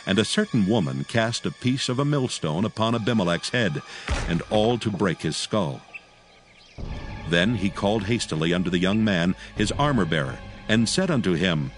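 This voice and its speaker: A man's voice: fairly low pitch, expressive. Solemn male scripture narrator over music. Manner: solemn, measured, formal. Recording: prominent music behind the voice.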